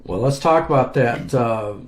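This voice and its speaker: A man interviewing a guest in a relaxed, hesitant way, with many fillers. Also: mid-range voice, measured pace. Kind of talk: casual interview.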